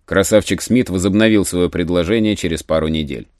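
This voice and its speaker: A man narrating an adventure audiobook in a measured, literary storytelling manner. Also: deep voice, darkish tone, no room sound, clean studio sound.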